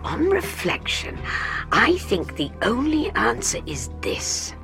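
A woman voicing a calm, dry-witted male doctor who speaks in a hushed, near-whispered tone of authority. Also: fairly high pitch, slow, music in the background, pitched above the performer's own voice, heavily acted. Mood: wry, commanding.

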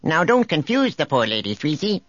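A man's voice: fairly high pitch, sing-song, normal speed, fairly bright tone, no echo, dull audio, voice pushed up. A man playing a wary, streetwise alien news reporter in a sci-fi audiobook, talking informally like a hustler. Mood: smooth, bluffing.

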